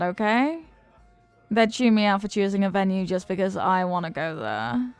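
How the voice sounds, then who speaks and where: A woman's voice: mid-range voice, a little mellow, close to an everyday voice. A woman playing a flirty, confident, playful stranger in an intimate roleplay, with a teasing, coy, sweet-talking manner. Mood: chatty, charmed. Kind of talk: intimate roleplay audio acting.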